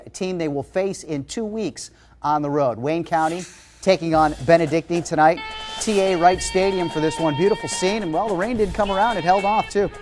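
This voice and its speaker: An American man anchoring high school football highlights in an excited, punchy broadcast delivery. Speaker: sports anchor. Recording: TV sports broadcast with music behind. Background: music bed.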